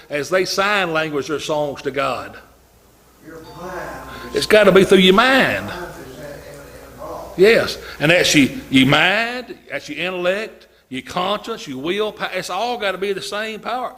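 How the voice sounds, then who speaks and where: A man preaching an informal sermon to his congregation, loud-voiced and folksy, talking in a relaxed conversational way with frequent fillers. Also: fairly high pitch.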